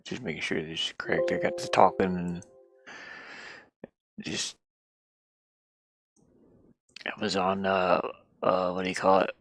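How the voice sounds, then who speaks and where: A game developer chatting casually and haltingly while working at a computer, murmuring half to themselves. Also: sits low, little pitch movement, creaky, soft background music. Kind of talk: casual screen-share chat while working. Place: inside a van.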